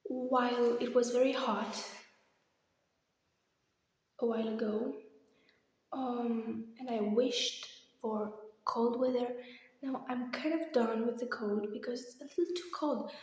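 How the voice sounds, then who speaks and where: Heavy room echo and long reverb surround a young woman's slightly hoarse voice. She talks at a slow, hesitant pace, rambling casually like someone giving a personal vlog update. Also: a little high, fairly even intonation, darkish tone. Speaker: vlogger. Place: echoey indoor room. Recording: very echoey, reverberant empty room.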